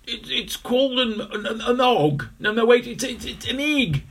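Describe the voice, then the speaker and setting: A man's voice: extremely high pitch, unhurried, gravelly, a bit bright, husky, voice pushed up, full character voice. A man voicing a forgetful male gorilla in a storybook, stammering and dithering in a raised voice. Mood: flustered. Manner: stuttering, dithering.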